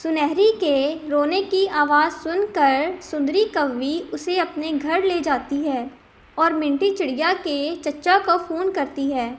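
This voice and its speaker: A woman's voice: sits high, slow. A woman narrating a scripted children's cartoon story.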